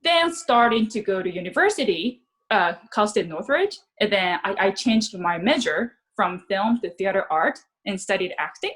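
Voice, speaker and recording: A woman from Japan, speaking English with a Japanese accent, telling her story as an actress guest in a casual interview, chatty and quick to laugh. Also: mid-range voice, expressive, fairly bright tone. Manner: chatty, laughs often, restarts sentences.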